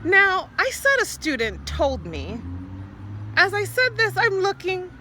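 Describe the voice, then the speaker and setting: A woman poet performing an improvised spoken-word monologue outdoors, theatrically, in short halting phrases with repeated words. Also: very high voice, huge pitch swings, bright, forceful tone, a little hiss or hum. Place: outdoors, standing or walking.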